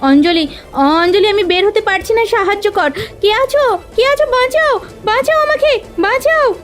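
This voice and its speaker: A woman's voice: very high-pitched, normal speed, a bit bright, faint music behind. A woman voicing a proud elder sister who runs a village kitchen, speaking in a stern, accusing way in a cartoon drama. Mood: panicked. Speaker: voice actor.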